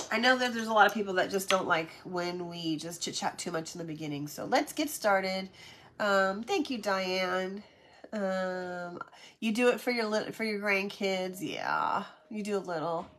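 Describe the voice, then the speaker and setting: A woman's voice: medium pitch, fairly bright tone. A middle-aged woman hosting a casual craft livestream, chatty and friendly, greeting viewers by name, her voice stuffed up and nasal from sinus congestion.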